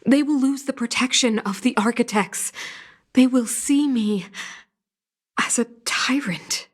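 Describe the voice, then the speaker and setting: An actress playing an anguished, conscientious female leader in a video game, grave and breathy. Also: on the high side, measured pace. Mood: troubled. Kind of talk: English game voice acting.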